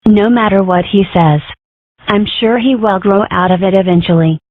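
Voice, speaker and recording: A woman's voice: mid-range voice, normal speed, no room sound, close to an everyday voice. Casting: actress for cheeky young animal girl in a comic dub, medium register, casual flippant teasing, dull close lo-fi sound. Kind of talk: comic strip dub, character acting. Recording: muffled, dull, dry audio.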